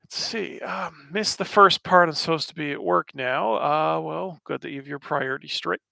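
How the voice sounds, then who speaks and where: A man's voice: fairly high pitch, moderate pace, vocal fry, bright, forceful tone, no room sound. An American man in his thirties hosting a casual livestream, answering chat questions in a rambling, wry way with lots of fillers. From United States.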